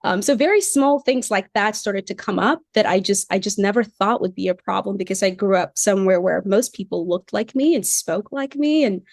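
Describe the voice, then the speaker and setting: A young woman from Cameroon, a doctoral student talking as a podcast guest in a thoughtful, animated way. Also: mid-range voice, moderate pace, hardly any pauses, no echo, clean studio sound. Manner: reflective, animated, says "like" often. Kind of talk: podcast interview.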